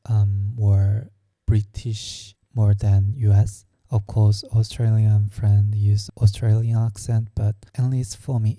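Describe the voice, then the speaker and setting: A young man from Korea with a Korean accent, telling his own story slowly and hesitantly, searching for words. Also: on the low side, warm tone, dry recording. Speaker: vlogger. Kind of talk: personal storytelling.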